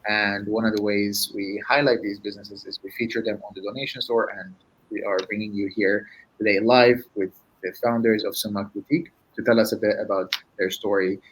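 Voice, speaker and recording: A man's voice: fairly low pitch, steady tone, a little fast, slight background noise, low-quality recording. A man hosting a live interview for a refugee support group, upbeat and promotional.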